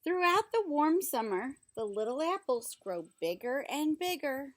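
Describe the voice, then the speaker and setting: High-pitched woman reading slowly to children. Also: big pitch swings. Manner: simple, instructional, pausing to explain and ask questions. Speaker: teacher reading a picture book aloud to young children. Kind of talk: children's read-aloud with explanatory asides.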